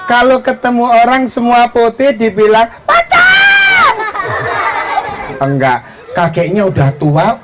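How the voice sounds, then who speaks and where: High-voiced woman telling stories to a crowd. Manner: theatrical, calls out to audience. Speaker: children's storyteller. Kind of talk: live interactive storytelling. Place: live event before an audience of children. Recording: noisy live event with crowd.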